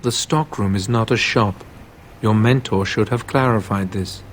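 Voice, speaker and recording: An actor playing a terse mage storekeeper in a video game, speaking formally and officiously. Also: male voice, lowish voice, unhurried, slight background noise, lightly acted. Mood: brisk, officious. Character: terse, formal male mage stockroom keeper.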